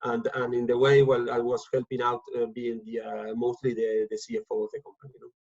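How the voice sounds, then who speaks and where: Halting man on a dull video call. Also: mid-range voice, monotone, a little mellow. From Argentina. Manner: halting, many repeated words and fillers. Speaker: guest speaker. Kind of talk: casual talk on a video call. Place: home. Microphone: video call from home.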